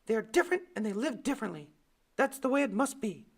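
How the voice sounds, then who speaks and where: A man's voice: very high-pitched, very animated, fast, creaky, pitched above the performer's own voice, exaggerated voice. A man voicing a disapproving mother who firmly admonishes her young son, as a storybook character. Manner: firm, admonishing. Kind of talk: storybook character voice in a read-aloud.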